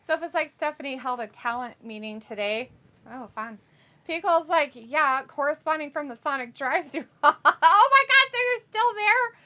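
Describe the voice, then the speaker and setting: A woman's voice: high voice, animated, normal speed, bright, forceful tone. A woman co-hosting a wrestling watch-along, chatting casually, reading viewer comments aloud and often breaking into laughter.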